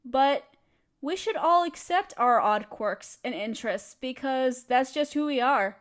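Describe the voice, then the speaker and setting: High-pitched woman giving gentle reassurance. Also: animated, a little slow. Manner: reassuring, encouraging, addresses listener directly. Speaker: audio creator speaking as a supportive friend. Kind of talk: comforting scripted monologue to the listener.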